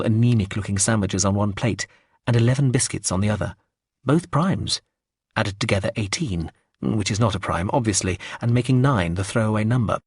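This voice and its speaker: Brief: low, husky male narrator, wry and measured, dry close sound. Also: no echo. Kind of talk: first-person audiobook narration.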